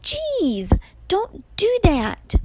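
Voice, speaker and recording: A woman's voice: very high-pitched, huge pitch swings, extremely slow, warm tone, a little hiss or hum, pitched above the performer's own voice, exaggerated voice. A woman voicing a nervous, incredulous young hero boy in a storybook, flustered and protesting. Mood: startled, protesting.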